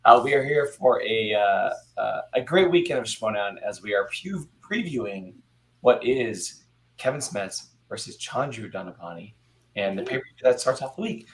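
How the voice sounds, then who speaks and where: A man with a hoarse, failing voice casually hosting a show. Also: on the low side, expressive, moderate pace, low-quality recording. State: hoarse, losing his voice. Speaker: show host.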